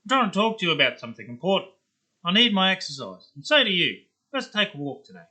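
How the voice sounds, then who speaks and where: A man's voice: high-pitched, big pitch swings, quick pace, bright, forceful tone, husky, voice pushed up, heavily acted. A man voicing a tiny, annoyed cartoon dog with an exaggerated Australian accent, pleading insistently.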